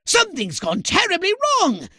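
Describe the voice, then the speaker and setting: A tiny, haughty alien commander for a video game. The voice is piercing and sharp, leaping dramatically in pitch, recorded very dry and close. The delivery is theatrical, scolding and impatient, with pompous, clipped formal diction. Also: male voice, huge pitch swings, normal speed, no room sound, exaggerated voice. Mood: alarmed. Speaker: mission controller giving orders.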